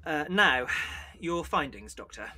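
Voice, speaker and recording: Man as bright, fussy, anxious professor. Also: a little high, expressive, a little slow, slight background noise, exaggerated voice. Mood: sceptical, precise. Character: fussy, anxious male professor guarding his research. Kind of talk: audio drama acting.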